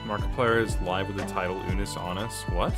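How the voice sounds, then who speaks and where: A man's voice: low voice, steady tone, brisk, over loud music. A man talking casually to viewers as a live streamer. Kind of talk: casual livestream chat.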